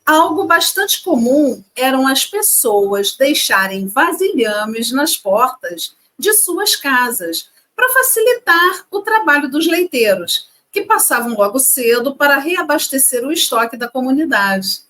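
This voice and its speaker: A woman from Rio de Janeiro co-hosting a casual chat, lively and chatty as she reads facts from her notes. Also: high-pitched, a little slow, hardly any pauses. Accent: Rio de Janeiro Portuguese. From Brazil. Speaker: co-host.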